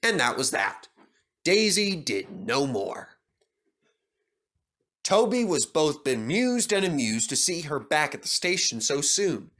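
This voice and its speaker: A man narrating a scripted children's story as an expressive storyteller. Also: a little high, slow.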